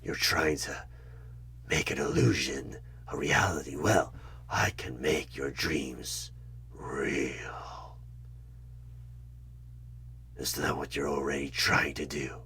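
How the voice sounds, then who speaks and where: Evil demon tempter for horror asmr, super deep n husky, half whispering but harsh edged, creepy slow coaxing. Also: male voice, steady tone, exaggerated voice. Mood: persuasive, menacing. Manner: slow, menacing, coaxing, with sudden loud bursts. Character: sinister devilish tempter bargaining for a soul. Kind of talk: horror ASMR one-sided roleplay.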